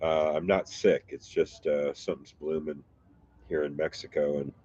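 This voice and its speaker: A man's voice: very deep voice, fairly even intonation, darkish tone, muffled recording, slight background noise. A man from the United States hosting a casual chat with viewers, sounding a little nasal from allergies and rambling with frequent restarts. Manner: rambling, halting, self-correcting. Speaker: YouTube reaction channel host. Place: home in a Mexican town.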